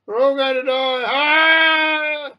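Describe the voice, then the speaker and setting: A man's voice: extremely high pitch, steady tone, takes it slowly, lo-fi sound, pitched above the performer's own voice. A man voicing a hysterical, terrified airline passenger in a story, shrieking and wailing melodramatically. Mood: doomed wailing.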